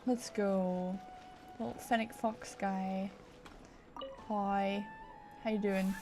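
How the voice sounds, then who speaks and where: Giggly woman chatting on stream, unhurried. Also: medium pitch, fairly even intonation, background noise. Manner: giggly, playful, rambling. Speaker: video game livestreamer. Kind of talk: casual livestream chat with viewers while gaming.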